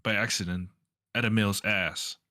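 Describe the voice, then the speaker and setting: A man's voice: lowish voice, steady tone, moderate pace, sharp tone. A man chatting casually as a podcast co-host, easygoing and teasing, with laughs between his lines. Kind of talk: casual conversation.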